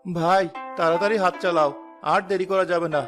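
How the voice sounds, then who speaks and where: A man's voice: high voice, steady tone, a little fast, fairly bright tone, faint music behind. A man voicing a poor, devout villager in a cartoon moral story, earnest in manner. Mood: urgent. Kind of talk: animated moral story voice acting.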